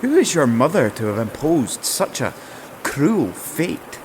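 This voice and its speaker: A man's voice: medium pitch, sing-song, takes it slowly, background noise. A man playing a bold, courtly would-be king in a fantasy audio drama, with gallant, flowery, formal speech. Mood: flattering.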